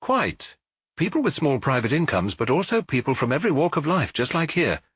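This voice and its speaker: A man's voice: fairly high pitch, big pitch swings, a little fast, fairly bright tone, slightly rough, dry recording, clean studio sound, voice pushed up, heavily acted. A man voicing a middle-aged French detective who muses aloud and jokes dryly, in an audiobook. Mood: musing. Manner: musing, dryly joking.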